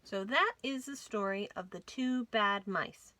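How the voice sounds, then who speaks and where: A woman hosting a children's storytime, reading stories and nursery rhymes aloud in an expressive, clearly enunciated way for young listeners. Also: mid-range voice, a little slow, slight background noise. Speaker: children's storytime host at a library.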